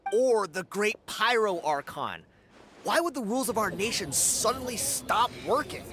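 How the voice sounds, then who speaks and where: A man's voice: high voice, animated, unhurried, music in the background. A man playing a smug, spiteful young warrior in a video game, sneering and insinuating. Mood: goading. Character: smug, spiteful young male warrior, scornful rumor-monger. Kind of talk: English video game voice acting.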